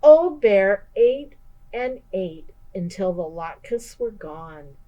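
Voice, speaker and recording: Unhurried woman reading a children's storybook. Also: medium pitch, dull audio. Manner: expressive, deliberate read-aloud. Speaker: teacher reading aloud.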